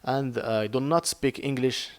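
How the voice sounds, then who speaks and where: A man from Morocco speaks in a Moroccan-accented, mid-pitched voice, teaching trading in an earnest, halting way. He searches for words and restarts often, filling gaps with "uh". The recording is close and dry, with no room sound. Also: steady tone, no room sound. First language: Arabic. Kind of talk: informal screen-share lesson.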